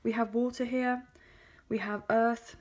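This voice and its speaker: Woman, tarot reader, croaky hoarse voice, chatty with lots of ums, recorded on a phone. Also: a little high, level tone, measured pace. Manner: chatty with many fillers. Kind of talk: spoken tarot reading.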